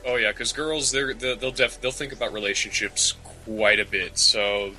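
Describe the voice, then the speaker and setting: A man co-hosting a casual comedic advice podcast, talking in halting, slangy phrases and often repeating his words. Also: fairly low pitch, steady tone, sharp tone, soft background music, low-quality recording. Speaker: podcast co-host.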